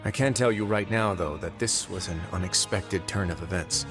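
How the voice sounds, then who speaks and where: A man playing a composed, calculating authority figure in an anime game, speaking in a measured, formal, explanatory way. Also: sits low, over music. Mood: grave.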